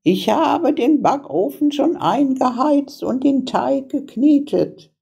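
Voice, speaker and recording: A wicked old fairy-tale witch, pitched far up from a normal speaking voice. She is malicious and bossy, with a rough, husky edge as she gloats and gives orders. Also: animated, slow, dark tone, exaggerated voice. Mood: scheming. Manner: malicious, mocking, barking orders. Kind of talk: fairy-tale storybook character voice.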